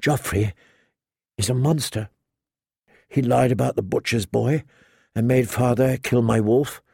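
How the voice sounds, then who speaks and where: A male narrator plays a timid young noblewoman in a fantasy audiobook. She speaks haltingly and in a hush, full of fear. The voice is slightly high, with some creak in it. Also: dry recording, studio quality. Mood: trembling, hushed. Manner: hesitant, halting, hushed.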